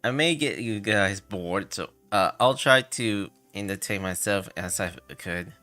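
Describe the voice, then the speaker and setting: A man livestreaming a game with casual commentary, hesitant and halting, mumbling at times as he gathers his words. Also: lowish voice, expressive, pushed and bright, dry recording, soft background music.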